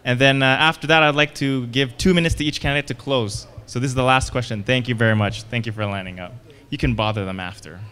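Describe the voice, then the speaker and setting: A man moderating a public debate, brisk and polite. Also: medium pitch, pushed and bright, clear, resonant tone, in a large hall. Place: public meeting hall.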